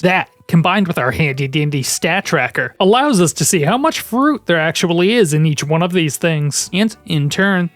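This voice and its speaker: A narrator giving scripted comedic commentary over gameplay, deadpan and sarcastic, swearing casually. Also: medium pitch, no room sound. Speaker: video essay narrator.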